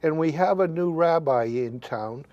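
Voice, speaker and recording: A man hosting a local interview show, asking questions in a halting way, stumbling over names and using many fillers. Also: fairly high pitch, expressive, a little mellow, no echo, highs cut off.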